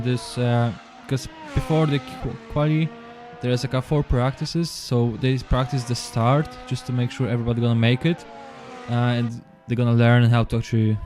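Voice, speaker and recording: A man's voice: mid-range voice, a little mellow, a little hiss or hum. Polish-accented man commentating sim racing. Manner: halting, repeats words, apologetic. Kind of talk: live esports race commentary.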